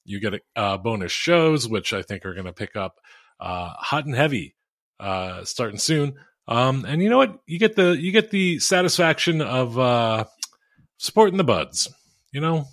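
A young man co-hosting a casual sports podcast over a call, with a monotone voice and a rambling manner, laughing at his own jokes. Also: medium pitch, normal speed, no room sound, clean studio sound. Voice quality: monotone, self-described oafish. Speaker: podcast co-host.

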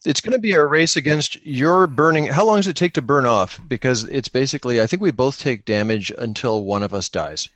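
A man's voice: mid-range voice, animated, brisk, few pauses, fairly bright tone, no room sound. A man chatting casually and jokingly with dry humour as he plays an improvised tabletop role-playing game.

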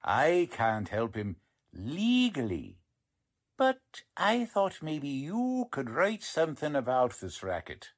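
A woman acting as a plain-spoken lawman in old-time radio style. The voice is low and swings widely in pitch, with slow, folksy, slangy delivery. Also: huge pitch swings, heavily acted. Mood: gruff, resigned.

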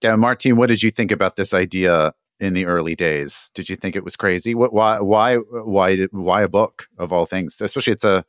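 A male interviewer with a low voice, recorded dry. He keeps things casual, feeling his way through long questions and restarting as he goes. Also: fairly quick, hardly any pauses, no room sound. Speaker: interviewer, podcast host.

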